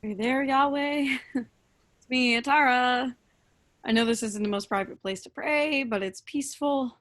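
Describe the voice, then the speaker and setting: A woman's voice: sits high, moderate pace, a bit bright. An actress playing an earnest, doubting woman who prays in a hesitant, rambling way in a comic play reading. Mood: awkward, earnest. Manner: hesitant, rambling, awkwardly sincere. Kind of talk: staged reading of a comic monologue.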